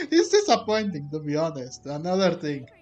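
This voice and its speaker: A man doing casual, rambling gameplay commentary as host, with halting delivery. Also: fairly high pitch, huge pitch swings, darkish tone. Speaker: host of a game playthrough channel. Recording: game music under the voice. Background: game music.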